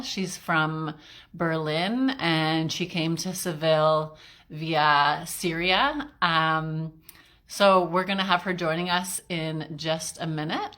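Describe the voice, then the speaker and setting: A woman's voice: fairly low pitch, bright, forceful tone. A woman hosting a casual online interview, chatty and friendly, with frequent ums and uhs. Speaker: interview host.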